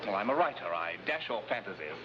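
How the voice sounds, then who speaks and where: An actor playing a chatty, whimsical gentleman novelist with breezy upper-class phrasing in a 1950s television adventure. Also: on the low side, sing-song, quick pace. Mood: breezy, chummy. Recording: muffled old TV soundtrack with noise.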